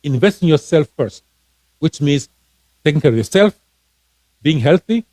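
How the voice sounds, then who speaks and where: A fairly high-voiced man from Cameroon coaching listeners on money in an earnest, encouraging way, often stumbling and repeating words. His voice sounds close and dry, with no room around it. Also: expressive, moderate pace, a little mellow, no room sound. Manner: repetitive, restarting, earnest and encouraging. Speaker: financial coach, author. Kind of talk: casual live-stream advice talk.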